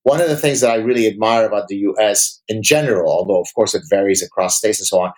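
A man's voice: lowish voice, fast talker, a little mellow, poor audio. A man from Lebanon whose first language is Arabic, giving emphatic, articulate opinions in formal vocabulary as an academic interview guest.

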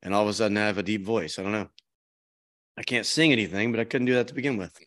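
A man's voice: on the low side, fairly even intonation, fast, fairly bright tone. A man hosting a podcast with a strained voice, chatting casually and rambling with restarts. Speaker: podcast host. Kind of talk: casual chat.

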